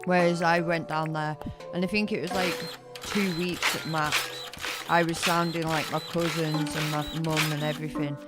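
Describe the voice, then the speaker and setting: A woman's voice: deep voice, level tone, moderate pace. A young woman from England with a mixed northern and southern English accent, chatting casually while she plays a game, rambling with lots of filler words. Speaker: gaming streamer. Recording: loud background music.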